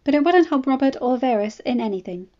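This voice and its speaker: A woman voicing a sharp, bold noblewoman with a blunt, self-assured manner. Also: high-pitched, lively intonation, quick pace, muffled recording, pitched above the performer's own voice. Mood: blunt, confident.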